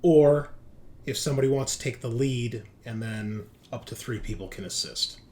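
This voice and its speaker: A man narrating and refereeing a tabletop role-playing game as game master, often halting and rephrasing himself as he goes. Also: medium pitch, a little mellow, slight background noise. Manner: halting, self-correcting narration.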